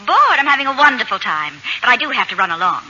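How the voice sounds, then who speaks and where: An actress playing a gushing, lively, chatty lady friend in a 1940s radio comedy. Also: a little high, fast talker, heavily acted. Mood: gushing, breezy. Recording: dull, noisy old radio recording.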